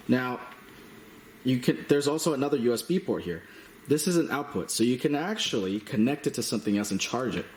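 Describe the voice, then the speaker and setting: A man giving a casual hands-on product demonstration as a reviewer, speaking in a halting, matter-of-fact way. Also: medium pitch. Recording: roomy echo, slight background noise.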